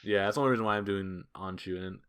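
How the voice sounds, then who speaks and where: A man's voice: low-pitched, steady tone, racing, fairly bright tone, clear, resonant tone. A man co-hosting a casual podcast chat, joking around and laughing often. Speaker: podcast co-host.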